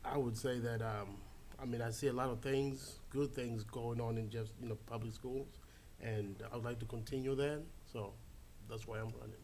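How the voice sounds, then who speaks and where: A man in his forties from Zimbabwe, speaking relaxed and hesitant with many fillers as a school board candidate. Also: medium pitch, normal speed, a little hiss or hum.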